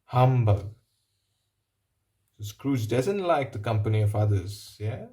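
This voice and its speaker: A man reading a picture book aloud, pausing for simple explanatory asides and checking in with the listener. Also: lowish voice, very animated, warm tone. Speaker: storybook reader.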